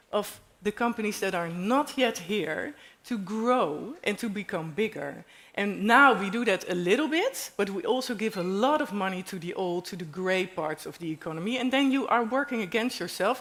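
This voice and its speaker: A woman's voice: medium pitch, a bit bright. Dutch-accented woman, emphatic panelist. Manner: emphatic, fast, searches for English words. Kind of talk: panel discussion answers. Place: stage at a university event.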